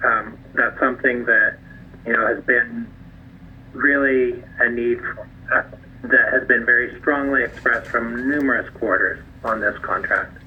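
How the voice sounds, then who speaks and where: A man leading a conference call, giving measured, diplomatic, halting explanations over the phone. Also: medium pitch, steady tone. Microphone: telephone conference line. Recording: tinny phone line, clear background noise.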